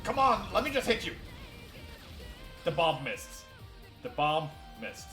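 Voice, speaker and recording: An excitable man bantering through a game in a fairly high, bright voice. The sound is roomy, with faint music behind him. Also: takes it slowly. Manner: excitable, exclamatory. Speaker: player in a group fandub playthrough. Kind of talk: casual gaming banter.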